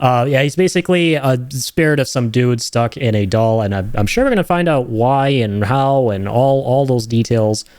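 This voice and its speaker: A man's voice: medium pitch, moderate pace, hardly any pauses, darkish tone, clear, resonant tone, soft background music. A man giving enthusiastic, speculative commentary on game trailers in a casual streaming style. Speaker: reaction video host, streamer.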